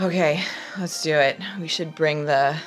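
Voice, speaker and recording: Low-voiced woman improvising over music. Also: steady tone, measured pace. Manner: informal, peppered with "like". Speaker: player in a tabletop game. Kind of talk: casual improvised roleplay and description. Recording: background music under the voice.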